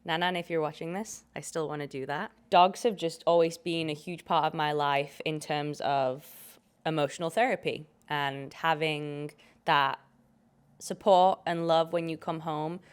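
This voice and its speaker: Young british woman, low voice, hoarse with a cold, chatty and jokey podcast host. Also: fairly even intonation, unhurried. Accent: British English. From Britain. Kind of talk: casual chat.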